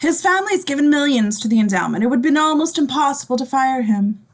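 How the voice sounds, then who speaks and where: A woman acts an elegant society dinner guest with a high voice. She is refined and polite, reading her part in a screenplay table read on a video call. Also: animated, fast talker. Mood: concerned.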